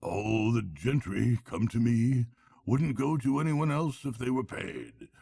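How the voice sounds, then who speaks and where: A man voicing a pompous, boastful male toad in a storybook reading, gravelly and husky. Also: medium pitch, animated, a little slow, warm tone, no echo, studio quality, pitched above the performer's own voice, heavily acted. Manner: airy bragging, turning nervous. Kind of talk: storybook character voice.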